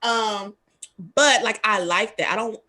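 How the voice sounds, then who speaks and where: A woman hosts a talk show, speaking candidly and rambling through her points with plenty of fillers. Her voice is bright and forward. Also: medium pitch, measured pace. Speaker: host. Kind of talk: casual talk show chat.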